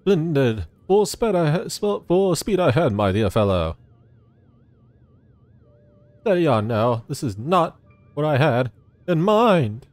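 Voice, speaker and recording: A man voicing a pompous, excitable gentleman inspector from a video game, with florid, theatrical old-fashioned diction and naive courtesy. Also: a little high, slow pace, pauses often, dry recording, faint music behind, pitched above the performer's own voice. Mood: exuberant.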